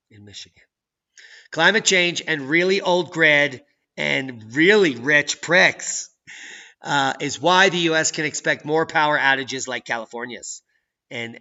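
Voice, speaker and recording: A man hosting a channel, reading news and weather maps with sarcastic, profane commentary that swings from low mutters to loud outbursts. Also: creaky, lo-fi sound. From United States. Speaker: channel host.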